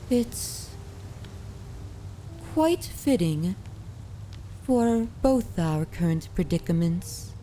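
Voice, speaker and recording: Woman acting a slow, mellow, courtly vampire mistress. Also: mid-range voice. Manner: formal, unhurried, aristocratic phrasing. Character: centuries-old noble vampire mistress, widowed, courtly, commanding, wistful. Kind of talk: ASMR roleplay audio drama.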